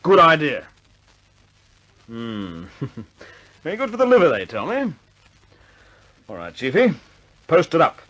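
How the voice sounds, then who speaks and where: An actor in a radio drama playing a stern but wry air force squadron commander who gives clipped orders. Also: male voice, fairly high pitch, huge pitch swings, slow pace, pauses often, fairly bright tone, heavily acted, rough recording. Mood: wry, decisive.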